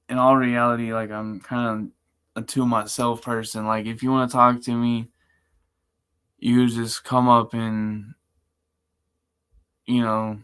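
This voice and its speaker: A man with a monotone, chill voice, rambling casually and slangily as a live streamer. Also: medium pitch, clear, resonant tone. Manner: laid-back, chill. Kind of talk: casual livestream chat.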